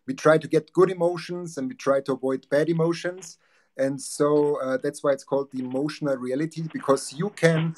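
A man's voice: fairly high pitch, darkish tone. A man in his forties with a German accent, earnestly explaining his ideas as a guest on a video call. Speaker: guest, relationship coach. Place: home office with bookshelves.

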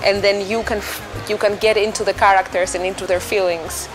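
A woman's voice: medium pitch, level tone, brisk, rough recording. A woman in her thirties with a Greek accent, a musician answering interview questions thoughtfully, with many fillers and restarts. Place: dim restaurant or bar, indoors. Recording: soft music underneath.

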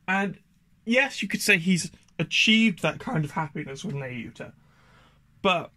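A man presenting a video essay in a casual, thoughtful, pausing way. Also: on the high side, a bit bright, no room sound.

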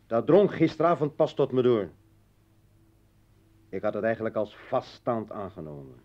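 A man's voice: medium pitch, mellow, close to an everyday voice. An actor in a radio play as an embittered, rambling revolutionary and desperate father, speaking rhetorically and swinging between brooding and outburst. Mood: reflective, grave. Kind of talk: dramatic radio play acting. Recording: dull lo-fi old radio recording.

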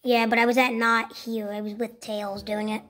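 A woman's voice: fairly high pitch, little pitch movement, moderate pace, fairly bright tone, close to an everyday voice. A woman playing an aloof, dismissive cartoon hedgehog speedster in an improvised toy play skit.

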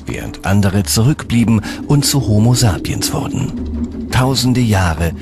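A man reading scripted documentary narration in a measured, serious way. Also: on the low side, lively intonation. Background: background music.

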